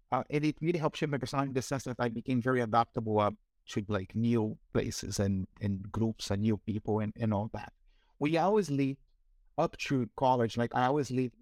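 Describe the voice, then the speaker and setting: A Brazilian-accented man in his forties, talking through an interview. He is hesitant and full of fillers and restarts, at a middling pitch. The sound is dry and close. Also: no echo. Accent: Brazilian Portuguese. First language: Portuguese. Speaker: guest, marketing executive. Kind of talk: casual interview.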